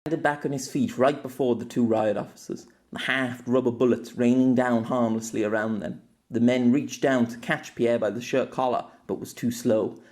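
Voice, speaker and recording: A man narrating an audiobook in a steady storytelling voice. His pitch sits at a middle level for a man. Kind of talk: scripted narration.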